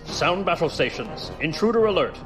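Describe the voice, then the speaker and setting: An actor playing a cold, arrogant imperial colonel in a science fiction audio drama, self-assured and menacing. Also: male voice, fairly high pitch, very animated, moderate pace. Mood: commanding. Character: cold, arrogant imperial special operations colonel, male villain. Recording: music under the voice.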